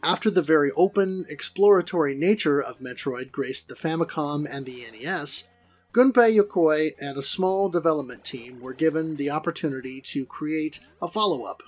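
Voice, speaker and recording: Higher-voiced man, scripted game review. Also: normal speed, faint music behind. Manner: wordy, theatrically formal. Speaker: host of a video game review series.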